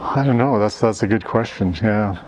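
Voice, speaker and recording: A man's voice: on the low side, normal speed, background noise. Hesitant man in a casual interview. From Canada. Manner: hesitant, many restarts and fillers. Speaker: interviewee, event organizer.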